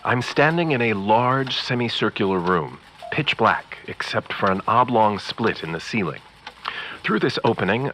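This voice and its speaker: Radio drama field reporter: bright, projecting, theatrical, condescending and formal, pitched low. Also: male voice, a little hiss or hum, poor audio. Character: pompous sexist male radio field reporter. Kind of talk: 1930s radio news acting.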